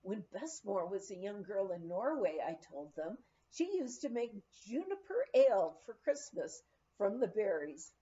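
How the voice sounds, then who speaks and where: A woman reading a memoir aloud as an audiobook narrator, in a measured storytelling delivery. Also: medium pitch, very animated, mellow. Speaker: audiobook reader. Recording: slightly dull, lo-fi recording.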